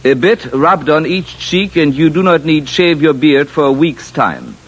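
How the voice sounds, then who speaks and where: An actor playing a courteous, smugly confident alien official in an old radio drama, speaking stiffly and precisely without contractions. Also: male voice, a little high, very animated, a little slow. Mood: formal, explanatory. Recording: muffled vintage radio broadcast.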